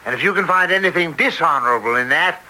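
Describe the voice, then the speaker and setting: An actor playing an earnest, stubborn young baronet in a radio drama, speaking directly. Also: male voice, on the high side, lively intonation, fast talker, sharp tone. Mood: defiant. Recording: faintly noisy radio drama recording.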